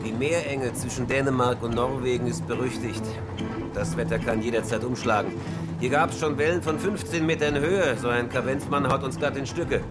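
An actor playing a gruff, weathered skipper of a small cutter in a German radio horror drama, cursing and using seaman's jargon. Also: medium pitch, moderate pace, natural voice. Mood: grim, ominous. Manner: curses, talks in seaman's jargon. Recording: sea noise and music behind voice.

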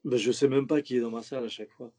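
A man's voice: medium pitch, fairly even intonation, racing, mellow. A man who is a stand-up comedian, talking loosely in a casual interview, slangy and rambling with many restarts. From French suburbs.

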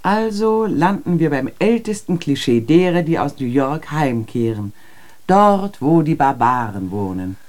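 A sceptical female journalist argues in a literary radio play. Her voice is dark and mellow, her delivery articulate and laced with irony. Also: deep voice, sing-song, unhurried. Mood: sarcastic. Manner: ironic, articulate. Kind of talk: literary radio drama dialogue.

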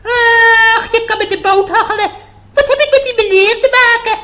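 An extremely high-pitched old witch for a radio fairy tale. She is brash and impudent. She cackles and mocks as she talks. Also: normal speed, sharp tone, slight background noise, heavily acted. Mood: mocking, brash.

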